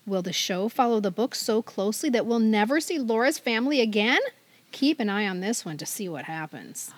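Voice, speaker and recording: A woman's voice: medium pitch, expressive, a little fast, no room sound. A middle-aged American woman co-hosting a podcast, chatting casually and reading out magazine items. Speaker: podcast co-host.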